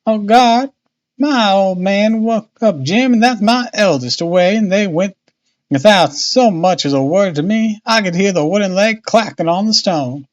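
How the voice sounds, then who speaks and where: Storybook boatman's wife male reader acting, talkative and fretful, colloquial, pitched well up and loud. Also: measured pace, muffled recording. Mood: anxious. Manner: chattering, exclamatory, colloquial. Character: harried, talkative working-class Victorian boatman's wife, anxious.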